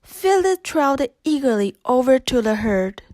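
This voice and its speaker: A woman narrates a children's read-along story. Her voice is high and animated, and she takes it slowly with expressive storytelling. The recording is close and dry. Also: no room sound.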